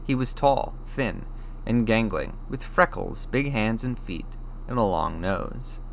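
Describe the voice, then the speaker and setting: A man's voice: lowish voice, expressive, slow pace, vocal fry, a little hiss or hum, rough recording. A man reading a story aloud as scripted audiobook narration. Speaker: audiobook reader.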